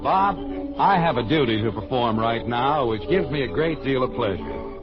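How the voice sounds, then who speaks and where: A man's voice: mid-range voice, big pitch swings, normal speed. An American man announcing from a script in a polished, vintage radio sales style. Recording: muffled old radio broadcast, music behind. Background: music.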